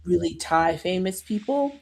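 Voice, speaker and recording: A woman's voice: on the low side, lively intonation, a little slow, music in the background. A woman co-hosting a podcast in casual chat, laying out her points at length with emphasis. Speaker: podcast co-host.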